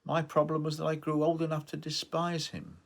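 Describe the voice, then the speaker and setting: A man's voice: fairly high pitch, monotone, brisk, creaky, voice pushed up. A man voicing a shrewd young woman of twenty-one in novel dialogue, with a direct, pointed manner. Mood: frank. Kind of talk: novel dialogue read aloud.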